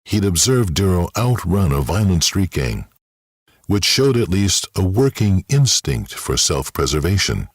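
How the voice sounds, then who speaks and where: A man narrating a scripted dramatic story in a measured, wry storyteller's manner. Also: low voice, husky, dry recording, clean studio sound. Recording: loud music behind.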